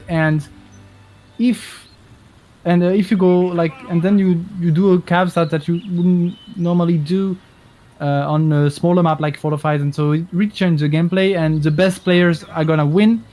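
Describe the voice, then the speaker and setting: A man co-casts a strategy game, breaking down tactics in halting sentences that often restart. His voice is fairly high for a man, and music plays softly behind him. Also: fairly even intonation. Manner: halting, explanatory, with many restarts. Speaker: co-caster. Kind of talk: game analysis commentary.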